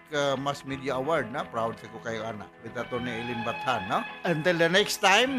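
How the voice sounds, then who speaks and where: A man's voice: fairly high pitch, sharp tone. A man speaking casually as a doctor hosting a radio advice programme, in a hesitant, conversational way.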